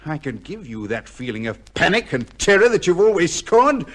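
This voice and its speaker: An actor playing a cold, ruthless, vengeful son with a theatrical, taunting manner in an old-time radio drama. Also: male voice, a little high, very animated, normal speed, a bit bright. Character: bitter, vengeful illegitimate son, cold, ruthless, with heart trouble.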